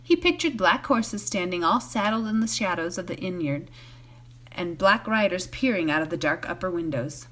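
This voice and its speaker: A woman reading a novel aloud as a bedtime story, in an expressive narrating style. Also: on the low side, moderate pace. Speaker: volunteer story reader.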